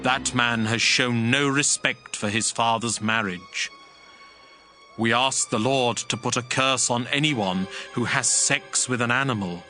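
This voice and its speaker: A man playing a stern cleric who solemnly pronounces curses in formal, deliberate cadence, in a dramatized scripture recitation. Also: medium pitch, bright, forceful tone. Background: music.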